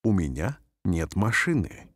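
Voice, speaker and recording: A man reading short phrases aloud slowly and carefully, each one repeated, for language learners. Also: deep voice, very animated, fairly bright tone, no echo. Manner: careful, clearly spaced phrases. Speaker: language teacher. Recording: dry studio voice-over.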